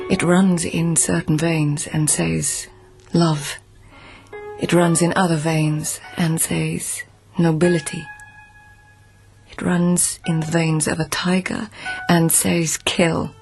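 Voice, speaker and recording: An actress in a radio drama playing a reflective, petulant noblewoman of the court, with a breathy voice and a poetic, musing manner. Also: deep voice, level tone, slow pace, darkish tone, soft background music, heavily acted. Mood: grave, poetic.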